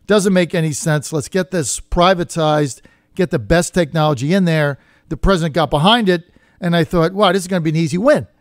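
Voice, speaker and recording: A man's voice: on the high side, brisk, dry recording, clean studio sound. A man hosting a political talk radio show, plain-spoken and opinionated, talking in short punchy sentences. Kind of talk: conversational political radio interview.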